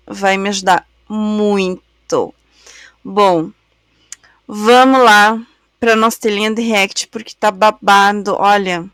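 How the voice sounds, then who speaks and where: A woman's voice: mid-range voice, a bit bright, no room sound. A woman hosting a react channel, chatting affectionately with viewers while she has a cold. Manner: chatty, affectionate, slow and drawn-out. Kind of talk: casual chat to viewers.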